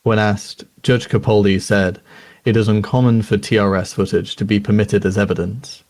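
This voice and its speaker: A man playing a sober news reader, narrating a crime documentary in a measured, grave and formal way. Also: lowish voice, fairly even intonation, darkish tone, close to an everyday voice.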